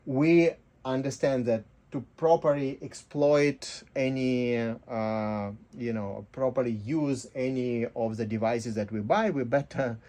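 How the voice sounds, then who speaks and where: Mellow man, explaining with halting reasoning. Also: medium pitch, steady tone. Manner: halting, rhetorical, often ends points with "right?". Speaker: interview guest. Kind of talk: casual philosophical explanation.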